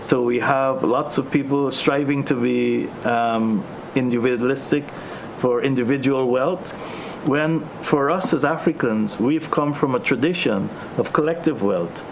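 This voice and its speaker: A Guyanese man leading a discussion, speaking in a measured way with many pauses and ums. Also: medium pitch, gravelly, slight background noise, poor audio. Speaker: host.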